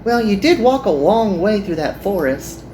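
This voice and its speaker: Guy doing a perky earnest anime magical girl, pitched up high, bit of room echo. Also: animated, moderate pace, darkish tone, a little hiss or hum.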